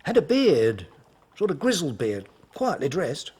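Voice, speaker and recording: An actor playing a deferential, helpful working-class English hotel porter in an audio drama, speaking in a homely, colloquial way. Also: male voice, a little high, huge pitch swings, measured pace, darkish tone, husky, exaggerated voice. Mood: obliging.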